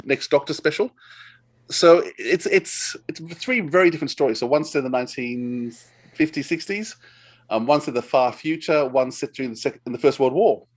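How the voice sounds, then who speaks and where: Male podcast co-host, mid-pitched, casual, enthusiastic and a bit rambling. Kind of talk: casual chat recommending audio dramas.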